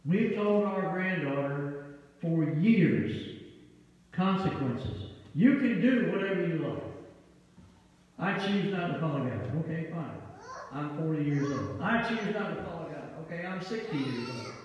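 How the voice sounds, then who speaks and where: A middle-aged pastor with a Midwestern American accent, preaching a sermon with emphatic delivery in a church sanctuary, using a handheld mic. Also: male voice, a little high, expressive, a little slow. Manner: emphatic preaching with wide gestures, rising to loud peaks. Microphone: handheld mic, far camera. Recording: echoey hall, distant and dull.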